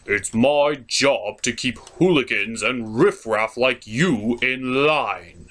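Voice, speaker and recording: A man's voice: medium pitch, slow pace, fairly bright tone, pitched below the performer's own voice. A man voicing a stuffy, stern butler puppet with a formal, pompous manner in a comedy skit.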